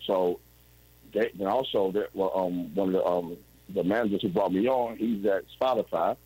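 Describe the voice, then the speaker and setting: A man's voice: sits low, moderate pace, mellow, breathy edge, low-quality recording. A man in his forties telling his story as an interview guest over a video call, rambling and restarting often. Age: 40s. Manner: rambling, with frequent restarts and "you know what I'm saying".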